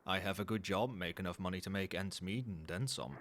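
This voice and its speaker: Cold, demanding male CEO, played by a man, ASMR roleplay: low register, measured businesslike delivery, audible background noise. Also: fairly bright tone. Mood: firm, businesslike. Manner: formal, measured, businesslike, faintly suggestive. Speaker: voice actor in an audio roleplay. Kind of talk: ASMR roleplay, one-sided dialogue to the listener.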